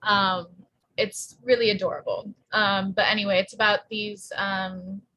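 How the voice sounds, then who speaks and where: Woman, average pitch, earnest, supportive, casual explaining. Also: flat delivery, a little slow, pushed and bright, rough recording. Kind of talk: casual discussion.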